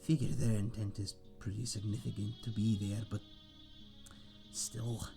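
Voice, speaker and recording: A man playing a friendly tavern owner and former sailor, husky and chatty, telling tales in a folksy seafaring dialect in a fantasy audio roleplay. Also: on the low side, little pitch movement, unhurried, music in the background. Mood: quietly worried. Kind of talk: ASMR roleplay monologue in a fantasy setting.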